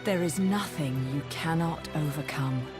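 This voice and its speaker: A gentle, protective witch mother from a video game cutscene. She takes her time with every phrase in a fairly low register, tender and reassuring. Music plays clearly behind her. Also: a little slow.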